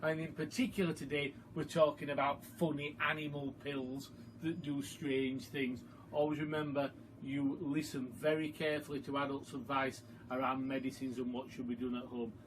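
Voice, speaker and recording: A man reading a story aloud to children like a primary school teacher, expressive, deliberate and emphatic. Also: mid-range voice, background noise. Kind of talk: storytime read-aloud narration.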